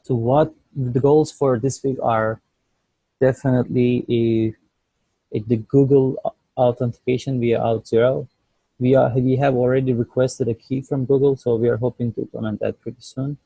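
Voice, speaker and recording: A man giving an informal project update on a video call, speaking in a hesitant, halting way. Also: mid-range voice, fairly even intonation, gravelly, warm tone, husky, no room sound, low-quality recording. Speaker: student developer presenting a team project check-in. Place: indoors at home, on a video call.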